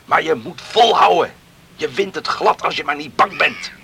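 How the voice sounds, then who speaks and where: An actor playing an earnest, proud younger brother in a radio play, speaking with insistent emphasis. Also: male voice, on the high side, lively intonation, moderate pace, bright, forceful tone, a little hiss or hum. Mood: pleading. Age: young adult.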